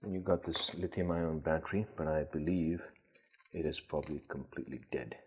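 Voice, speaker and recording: A man with a husky voice giving casual, tentative unboxing commentary, thinking aloud as host. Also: a bass voice, fairly quick, a little mellow. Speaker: gadget collector and video host.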